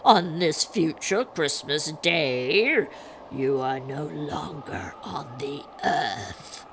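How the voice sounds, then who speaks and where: A woman voicing a boy who plays a menacing ghostly prophet in a story, guttural, drawn-out and declamatory. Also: deep voice, lively intonation, noisy background, exaggerated voice. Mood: ominous. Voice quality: guttural, horrific.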